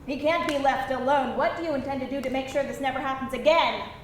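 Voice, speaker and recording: A high-voiced woman, an actress in a script reading plays a concerned, serious official or neighbour. She is measured and earnest. The sound is strongly echoing, with faint noise behind. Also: steady tone, natural voice. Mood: firm, sympathetic. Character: concerned neighbour and stern caseworker, both women. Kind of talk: live screenplay table read. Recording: very echoey live room, faint noise.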